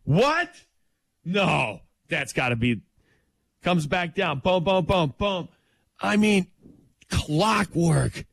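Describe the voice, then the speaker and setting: A man co-hosting a morning radio show, talking sports in an emphatic, excited way and laughing easily. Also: high-pitched, extremely slow, vocal fry, long pauses, no echo, studio quality.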